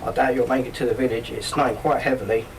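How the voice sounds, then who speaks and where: An amateur radio play actor as a courteous, measured railway guard with a matter-of-fact manner. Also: mid-range voice, steady tone, a little hiss or hum, natural voice. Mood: apologetic. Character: apologetic, formal railway guard on snowy night. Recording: slightly distant community radio studio.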